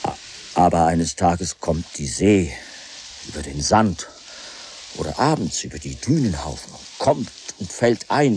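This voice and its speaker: A very low-voiced, deranged man broods aloud in a radio play. He speaks slowly and haltingly, repeating himself and swinging from full voice toward whispers. A clear wash of noise lies over the recording. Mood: visionary. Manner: halting, repetitive, drifting into whispers. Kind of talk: literary radio drama monologue.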